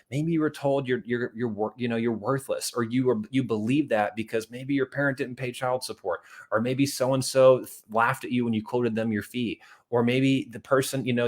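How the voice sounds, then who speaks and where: A man's voice: medium pitch, a little mellow. Earnest man preaching money and faith. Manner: earnest, conversational, with many asides to "guys"; acts out little dialogues and tells anecdotes. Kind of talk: motivational video talk to viewers.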